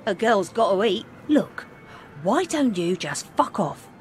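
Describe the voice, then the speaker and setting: A woman's voice: mid-range voice, sing-song, a little slow, a little hiss or hum. An actress playing a surly, scornful working-class English woman cook in a video game, brusque and foul-mouthed. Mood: hostile, scornful. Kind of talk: video game character acting.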